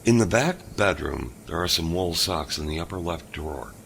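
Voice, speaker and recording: A lonely old dad, super low gravelly voice, kinda halting and soft. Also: male voice, lively intonation, slight background noise. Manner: gentle, halting, hesitant. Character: older lonely widowed father, kind host. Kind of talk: audio drama acting.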